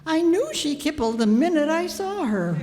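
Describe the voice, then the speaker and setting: A woman playing a high-voiced, sarcastic jealous wife. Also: sing-song, moderate pace, darkish tone. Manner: sarcastic, mock-innocent. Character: jealous, sarcastic, childish wife with mocking wisecracks. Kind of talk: old-time radio comedy acting. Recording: echoey hall, noisy stage recording.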